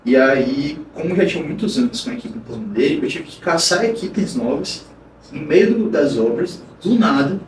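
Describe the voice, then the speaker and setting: A man's voice: fairly high pitch, lively intonation, normal speed. A man from Bahia, Brazil, a podcast guest, telling a long story frankly in a casual interview, often restarting his sentences. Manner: frank, rambling recounting with many restarts. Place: podcast studio. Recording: roomy, distant mic, noisy.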